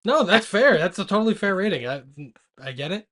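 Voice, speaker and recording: A man's voice: high-pitched, very animated, brisk, sharp tone. A man in his late twenties co-hosting a movie podcast, chatting casually and jokingly while drinking. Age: late 20s. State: drinking alcohol. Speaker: podcast co-host.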